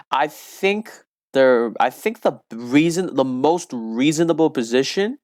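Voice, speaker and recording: A young man with a loud voice giving casual game commentary, rambling and restarting his sentences often. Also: medium pitch, no echo, studio quality. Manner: rambling with frequent restarts and fillers. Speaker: gaming commentator.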